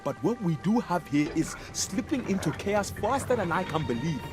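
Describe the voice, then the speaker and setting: Grim city guard, animated delivery. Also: male voice, high-pitched, moderate pace. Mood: grave, urgent. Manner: dramatic, brooding, earnest. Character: grim, embittered male city guard, loyal to townsfolk. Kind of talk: fantasy video game voice acting. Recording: game music behind.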